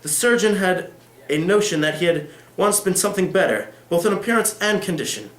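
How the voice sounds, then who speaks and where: A man reading the part of a calm, discreet lawyer who speaks formally and meditatively in a novel. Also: high-pitched, a little fast, pitched above the performer's own voice. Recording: echoey room.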